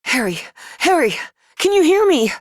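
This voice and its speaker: A woman's voice: sits high, very animated, moderate pace, faint music behind. An actress playing a devoted young woman in a story game, speaking with urgency and open emotion. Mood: urgent relief. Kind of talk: cinematic game voice acting.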